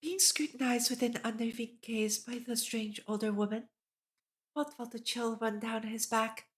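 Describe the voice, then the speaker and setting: High-pitched woman reading aloud. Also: fairly even intonation, moderate pace. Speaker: streamer reading a visual novel aloud. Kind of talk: livestream read-aloud with character voices and reactions.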